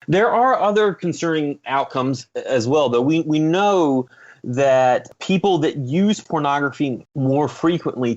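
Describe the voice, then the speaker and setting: A man speaking as an expert guest, explaining in a measured, academic way with careful qualifications. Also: medium pitch, dull audio. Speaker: guest expert, psychology professor. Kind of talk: explanatory interview answers.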